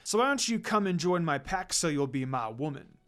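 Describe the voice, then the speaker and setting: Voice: mid-range voice, sing-song, fairly quick, fairly bright tone. A reader voicing a brash, possessive and jealous young male wolf demon in a fan fiction story. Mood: argumentative.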